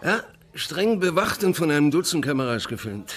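An actor playing a chatty, crude, sly eccentric in a crime radio drama, speaking colloquially and mockingly. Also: male voice, fairly high pitch, very animated, normal speed, fairly bright tone, a little hiss or hum. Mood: mocking, conspiratorial.

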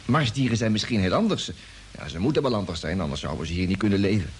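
A man's voice: fairly low pitch, lively intonation, fast talker, slightly rough, exaggerated voice. An actor playing an eager, curious space crewman who stammers and questions, in mid-century radio drama style. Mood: puzzled, musing. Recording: noisy old radio play recording.

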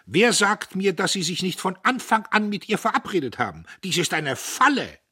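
A man voicing a haughty, self-righteous young man who answers in a shrill, indignant voice. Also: sing-song, moderate pace, bright, forceful tone, husky, full character voice. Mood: indignant shouting.

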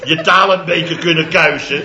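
A man's voice: on the high side, steady tone, normal speed, crowd noise, lightly acted. A man playing a blustering, teasing boss. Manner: laughs through his lines, teasing. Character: blustering, mocking male company boss. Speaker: boss of a building firm. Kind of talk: 1960s radio comedy acting. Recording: lo-fi old radio, roomy, audience laughter.

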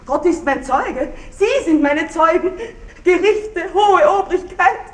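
An actress playing a wronged wife who laments and accuses melodramatically in a radio play. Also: female voice, very high voice, animated, takes it slowly, exaggerated voice. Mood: accusing, insistent. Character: theatrical wronged wife in mourning, wailing and accusing. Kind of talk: 1960s German radio play acting. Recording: roomy, echoing radio studio recording.

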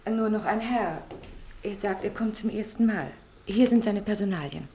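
An actress playing a polite, deferential nurse in a radio play. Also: female voice, mid-range voice, moderate pace, mellow, lightly acted. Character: Schwester Martha, polite nurse. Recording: roomy, distant, with noise.

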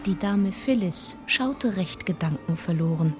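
An actress playing an observant, gently wry woman narrator, telling her travel story in a measured radio-drama style. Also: medium pitch, lively intonation, natural voice. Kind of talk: scripted first-person narration. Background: music.

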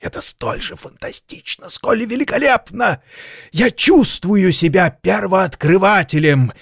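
An actor playing an excitable, sentimental elderly maestro pianist in an audio drama, theatrical and halting. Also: male voice, high voice, gravelly, husky, dry recording, clean studio sound, full character voice. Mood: exalted, excited.

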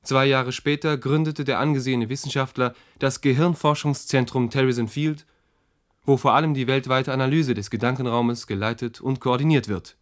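An actor playing a formal, factual announcer narrating a science broadcast in a radio play. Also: male voice, medium pitch, fairly even intonation, moderate pace, natural voice. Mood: factual, solemn. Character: male announcer introducing a future science broadcast.

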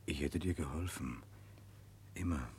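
An actor playing an earnest, lovelorn Russian prince in a German radio play, troubled and pleading. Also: male voice, a little slow, darkish tone. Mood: tender, sorrowful. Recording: rumbly, slightly noisy radio recording.

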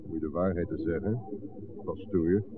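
A man's voice: low voice, normal speed, close to an everyday voice. A man playing a genial, shady bar boss in a 1960s radio drama, familiar and businesslike in manner. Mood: genial, confiding. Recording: muffled, noisy old radio recording.

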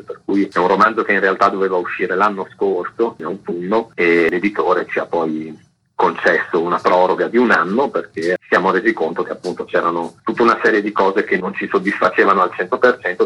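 Deep-voiced man with a husky, bright edge. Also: highs cut off. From Italy. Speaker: novelist interviewed on radio. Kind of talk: measured interview talk.

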